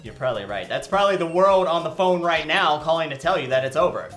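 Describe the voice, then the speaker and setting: A woman's voice: low-pitched, lively intonation, fast talker, a bit bright, soft background music. A woman voicing a sarcastic, exasperated young male lawyer in a game fandub, with a dry manner. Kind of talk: animated game fandub acting.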